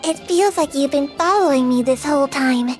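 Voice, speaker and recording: A woman's voice: high-pitched, moderate pace, soft background music, close to an everyday voice. A woman voicing a small, bubbly, curious female fairy companion in a video game. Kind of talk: game voice acting.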